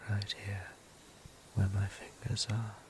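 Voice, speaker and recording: Over clear background noise, a gentle vampire lover played by a man whispers slowly and breathily. His speech is courteous, old-fashioned and careful, with a low, even pitch, as in an intimate audio drama. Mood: soothing, intimate.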